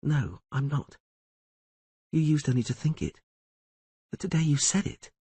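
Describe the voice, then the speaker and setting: A man voicing a proud, quick-tongued sorceress in an audiobook reading, in a husky voice with a teasing, commanding manner. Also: fairly high pitch, expressive, dark tone, dry recording, pitched above the performer's own voice, full character voice.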